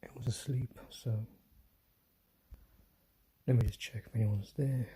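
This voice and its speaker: Solo male streamer, hushed half-whispered delivery, mellow tone, faint hiss behind. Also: steady tone, a little slow. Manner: talking low, often whispering, with apologies and restarts. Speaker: livestream host. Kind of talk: solo livestream commentary. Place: indoors at home, quiet room. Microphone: phone.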